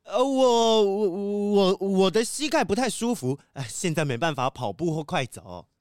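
An actor voicing a nervous, guilt-ridden young cheetah athlete in a children's audio drama, halting and downcast. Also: medium pitch, animated, a little mellow, smooth, no room sound, clean studio sound, lightly acted. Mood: nervous, evasive.

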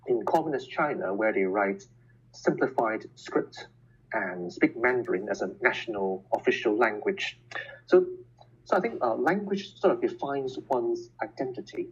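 Muffled lo-fi recording, man, Cantonese, very raspy medium-pitched voice, measured explanatory interview answers. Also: expressive. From Hong Kong. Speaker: podcast guest, scientist and educator. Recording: dull, muffled lo-fi sound.

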